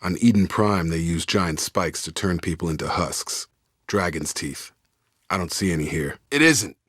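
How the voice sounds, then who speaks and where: An actor playing a loyal, guarded soldier in a video game, speaking in frank, clipped, informal military talk. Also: male voice, low voice, expressive, normal speed, fairly bright tone, slightly rough, no echo, clean studio sound, exaggerated voice. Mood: guarded, matter-of-fact. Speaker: soldier squadmate. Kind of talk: video game character dialogue.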